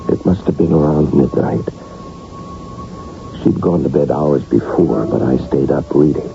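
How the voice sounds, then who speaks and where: A man's voice: rumbling low, expressive, unhurried, creaky, mellow, faint music behind, exaggerated voice. An actor playing a weary man narrating his troubled memory in a 1980s radio drama. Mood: somber. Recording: muffled old radio recording.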